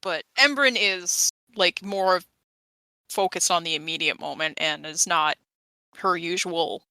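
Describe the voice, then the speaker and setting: A woman's voice: lowish voice, unhurried, sharp tone, smooth, no echo. A woman chatting casually as a player in a tabletop roleplaying game, explaining things in a wry way.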